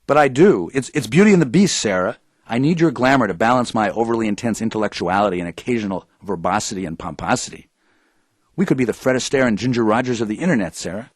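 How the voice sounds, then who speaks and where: A man's voice: on the low side, expressive, quick pace, slightly rough, no room sound, clean studio sound. A man playing a pompous, wordy intellectual radio interviewer in an audio drama, sarcastic and easily indignant. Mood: earnest, persuading. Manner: verbose, sarcastic.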